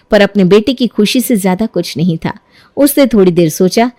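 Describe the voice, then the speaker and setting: A woman's voice: mid-range voice, darkish tone, dry recording, studio quality. A woman narrating a scripted moral story as its storyteller.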